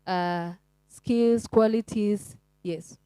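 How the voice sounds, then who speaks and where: A woman moderates a career panel in a chatty way, tossing light asides to the crowd. Her voice sits at a middle pitch and sounds dry and close. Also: very slow, mellow, clean tone, no echo. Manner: chatty, teasing asides to the audience.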